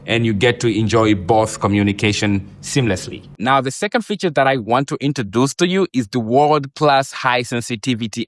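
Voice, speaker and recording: A man's voice: medium pitch, slight background noise. A man presenting and demonstrating a product in an explanatory, repetitive way.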